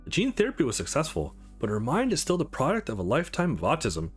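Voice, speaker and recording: Guy doing a brisk formal scientist from a visual novel, very precise, lots of pitch movement. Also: huge pitch swings, slightly rough, soft background music. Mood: clinical.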